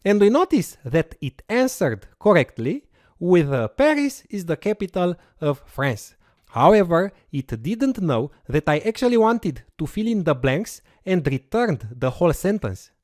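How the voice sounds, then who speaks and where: An instructor explains step by step, pitch rising and falling a lot. The voice is dry and close, with no room sound. Now and then there is a short "uh" while the instructor works through each step. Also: very animated, measured pace, a little mellow, no echo. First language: Romanian. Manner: explanatory, walks through steps with occasional fillers. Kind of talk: recorded course lecture with screen demo.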